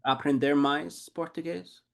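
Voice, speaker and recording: A man acting as host and teacher, asking slow, simple questions in a casual oral interview. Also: a little high, fairly even intonation, gravelly, fairly bright tone.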